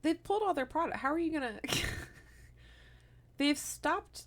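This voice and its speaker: A woman's voice: sits high, expressive, slow pace, a bit bright. A woman in her thirties telling a true-crime story to her co-host in casual podcast chat, laughing often and wandering into asides. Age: 30s.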